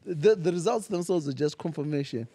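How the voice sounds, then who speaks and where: Man, mellow and opinionated. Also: fairly high pitch, a little fast, slight background noise. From Zimbabwe. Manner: opinionated, animated storytelling with mock quotes. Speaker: podcast guest, comedian. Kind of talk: casual conversational interview. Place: lounge venue.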